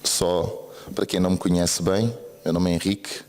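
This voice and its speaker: A man's voice: low voice, level tone, normal speed, darkish tone. A man from Brazil with a Brazilian accent mixed with European Portuguese, preaching an earnest sermon and often asking the congregation for an amen. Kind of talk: church sermon. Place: church service hall. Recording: long reverb of a church hall.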